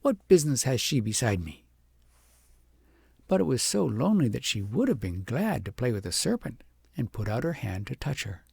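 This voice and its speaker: A man reading a fairytale audiobook in a dramatic, deliberate storytelling manner. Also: mid-range voice, vocal fry, a little mellow, husky, no echo. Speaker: audiobook narrator. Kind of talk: expressive scripted fairytale narration.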